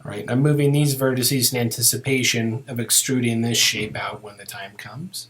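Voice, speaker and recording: A man explaining a 3D modeling task step by step in a relaxed, methodical tutorial voice. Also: mid-range voice, normal speed. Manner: relaxed, methodical, narrating each step as he works. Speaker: software tutorial instructor. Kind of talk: screen-recorded software tutorial narration.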